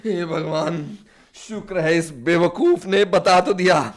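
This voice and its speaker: A man's voice: sits high, lively intonation, slow pace. An actor playing an irritable, ailing man with a fever and cough, groaning and complaining in a radio play. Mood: exasperated, scolding. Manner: exasperated, complaining, groaning, melodramatic. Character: irritable bedridden sick man scolding his servant. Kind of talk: Hindi radio play acting.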